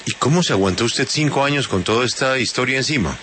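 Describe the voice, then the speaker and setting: A man's voice: medium pitch, animated, fast talker, bright, forceful tone, slight background noise. A male radio host interviewing a guest, asking short, direct questions. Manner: brief prompts, direct questions.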